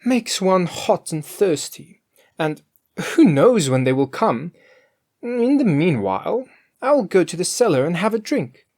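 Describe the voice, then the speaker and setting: A woman's voice: on the low side, big pitch swings, measured pace, mellow, voice pushed up, full character voice. A storyteller voicing a cheeky, greedy female cook from a storybook, merry, emphatic and full of excuses for herself. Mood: bright, self-justifying. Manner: emphatic, exclaiming. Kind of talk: storybook character voice.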